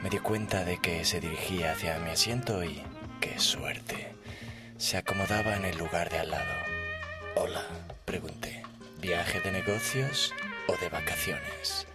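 A man with a Spain accent playing a smitten traveller who tells a comic story in a measured, hushed way. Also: deep voice, huge pitch swings, over loud music, voice pushed down, heavily acted. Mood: hushed admiration. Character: smitten male air traveller telling his comic story. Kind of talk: comic sketch narration.

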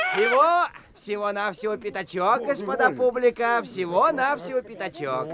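An actor playing a street organ grinder who loudly announces acts and sells fortunes in a radio play. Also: male voice, very high voice, full character voice. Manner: theatrical barker patter. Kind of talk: 1980s radio play acting. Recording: radio play with crowd behind.

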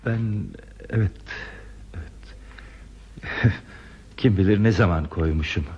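A man's voice: deep voice, slow, a little mellow, slightly rough, heavily acted. An actor playing a bashful, wistful man who recalls a lost youthful love in a hesitant, reflective radio drama style. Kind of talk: radio theatre acting. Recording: dull radio drama recording with music.

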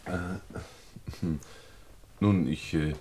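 Radio-drama suspect: lowish husky voice, defensive, uneasy, evasive. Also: male voice, fairly even intonation, extremely slow, mellow, heavily acted. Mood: sheepish, evasive. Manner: hedging, uneasy, insistent. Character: evasive, defensive man under suspicion of murder. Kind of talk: German radio play acting.

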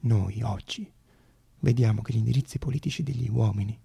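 Male theatre monologist: husky, very creaky voice, dramatic storytelling, deliberate pauses. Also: medium pitch, warm tone. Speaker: stage actor and storyteller.

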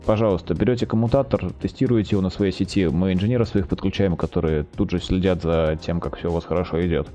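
A man explaining products as a guest in a podcast interview, speaking haltingly with drawn-out hesitations. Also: sits low, fairly even intonation, dark tone, faint music behind. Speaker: guest, telecom equipment maker's project manager.

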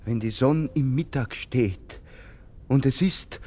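An actor playing a poor, brooding soldier in a German radio play, humble, haunted and halting in his speech. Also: medium pitch, darkish tone. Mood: haunted, urgent. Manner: halting, earnest, deferential.

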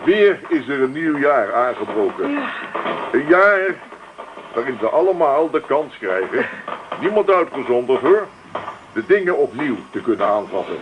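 Jovial family father, very animated played by an actor. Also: medium pitch, normal speed, phone-quality sound, lightly acted. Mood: jovial, solemn. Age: middle-aged. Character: jovial, sentimental father and grandfather giving a speech. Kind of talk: Dutch radio drama acting. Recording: noisy old radio play recording.

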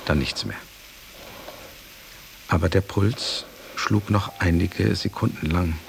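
A sober companion telling a solemn radio story. The voice is low and creaky, with a grave, measured delivery. A faint noise sits behind it. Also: male voice, full character voice. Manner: measured, grave, hushed at phrase ends. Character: sober male companion recounting a master's death. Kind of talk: literary radio play narration.

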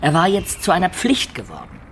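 A man's voice: a little high, wildly swooping pitch, a little fast, gravelly, fairly bright tone, slight background noise, heavily acted. An actor playing a young male adventurer who recounts his tale in a matter-of-fact way in a radio play. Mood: narrating. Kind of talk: German radio play narration and dialogue.

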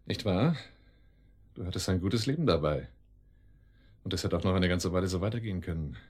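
A cold crime boss with a low, slightly rough voice. He speaks deliberately and sardonically, in the commanding manner of a radio drama villain. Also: animated, a little mellow. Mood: coldly menacing. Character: cold, menacing male crime boss, calmly cruel. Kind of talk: German radio drama acting.

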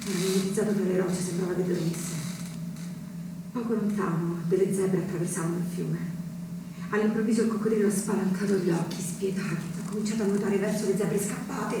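A woman's voice: medium pitch, fairly even intonation, a little fast, close to an everyday voice. Actress as a defiant woman, very echoey. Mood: haunted. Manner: intense, storytelling, emphatic. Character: wounded, defiant woman who left her small home town. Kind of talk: theatrical stage drama monologue. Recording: very echoey, distant, noisy stage recording.

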